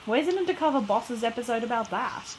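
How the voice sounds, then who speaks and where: A woman co-hosting a podcast in casual banter, playful and slangy, joking in a deadpan way. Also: a little high, fast, background noise. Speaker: podcast co-host.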